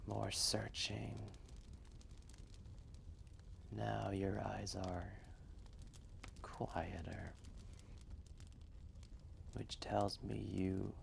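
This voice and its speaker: A man voicing an ancient, gentle, wistful dragon telling a fireside roleplay story in a soft, breathy, measured and gravelly voice. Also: fairly low pitch, a little hiss or hum, exaggerated voice. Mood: tender, wistful. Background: quiet fireplace ambience.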